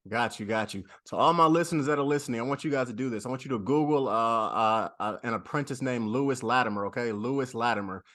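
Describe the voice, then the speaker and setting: A man's voice: medium pitch, quick pace, few pauses, fairly bright tone. A man hosting a podcast, talking casually with many fillers and repeats. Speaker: podcast host. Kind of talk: casual interview chat.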